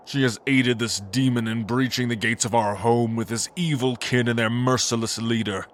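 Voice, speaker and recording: A man's voice: mid-range voice, fairly even intonation, normal speed, a little hiss or hum, close to an everyday voice. An actor playing a cold, manipulative angel lord and father in a fantasy audio drama, speaking in a grandiose, condescending way.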